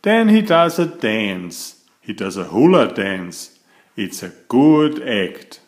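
A man reading a picture book aloud to young children in an expressive, deliberate storytelling manner. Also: on the low side. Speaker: picture-book reader and author of children's stories.

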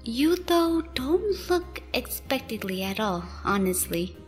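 A woman playing a self-conscious, gently teasing young woman rancher in a fan radio drama. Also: on the high side, big pitch swings, takes it slowly, soft background music. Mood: amused, teasing.

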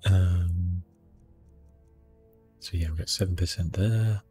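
A man giving soft, hushed ASMR gameplay commentary in a relaxed, unhurried manner. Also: low-pitched, faint music behind. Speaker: gaming streamer, host.

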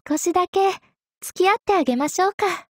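Very high-pitched coy anime sword maiden. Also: female voice, dry recording, clean studio sound, exaggerated voice. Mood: coy, teasing. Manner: polite, coy, teasing, deliberate delivery. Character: young sword maiden, polite, teasing, possessively sweet. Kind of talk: Japanese anime mobile game character lines.

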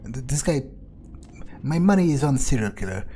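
A man narrating a video game playthrough in character, casual and wry, swearing often and filling pauses with ums and uhs. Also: mid-range voice, expressive, dark tone, a little hiss or hum. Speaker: gamer roleplaying his character.

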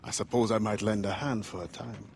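An actor playing a dignified warrior of a secret brotherhood, speaking formally and with measure in a game cutscene. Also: male voice, on the low side, steady tone, a little fast, creaky, darkish tone, a little hiss or hum. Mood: measured, courteous. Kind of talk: video game cutscene acting.

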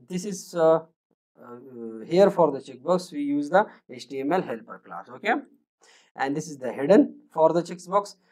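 Man with a halting coding-tutorial delivery. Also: a little high, a little mellow. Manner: halting, many fillers and restarts, thinking aloud. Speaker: programming tutor. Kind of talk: screen-recorded coding tutorial.

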